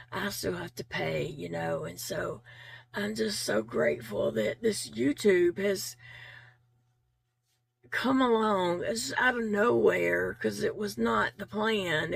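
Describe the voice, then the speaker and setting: A woman vlogger whose voice has gone raspy and is fading, with a strong gravelly fry in every phrase. She talks earnestly and thankfully to her viewers, circling back on her words with easy fillers. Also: medium pitch, a little hiss or hum. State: losing her voice. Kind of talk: casual heartfelt chat to viewers.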